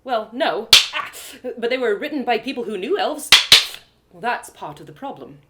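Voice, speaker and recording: A woman's voice: a little high, very animated, moderate pace, heavy background noise. A woman voicing a proud, spirited young female elf warrior who lectures emphatically in an audio drama. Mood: teasing, indignant.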